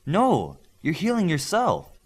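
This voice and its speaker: Male narrator playing young woman healer, audiobook superhero, higher pitched, bossy, clinical, brisk. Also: huge pitch swings, slightly rough, full character voice. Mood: intrigued. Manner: brisk, matter-of-fact explaining and scolding.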